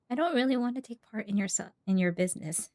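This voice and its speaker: A woman's voice: fairly high pitch, a little mellow, no room sound, clean studio sound. A woman game streamer reacting casually to gameplay and chatting to viewers. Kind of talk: casual live gameplay reaction and reading along.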